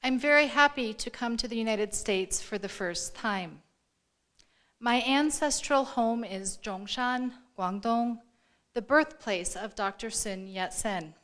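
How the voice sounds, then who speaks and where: Slow-paced woman reading a formal speech. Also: fairly high pitch, fairly bright tone. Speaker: translator reading a speech aloud. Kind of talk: formal speech read aloud at an award ceremony. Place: live event room before an audience.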